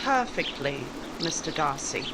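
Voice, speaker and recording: A woman playing a proud, spirited young daughter in 1940s radio romance style, polite but crisp and pointed. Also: sits low, very animated, measured pace, heavy background noise. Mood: guarded.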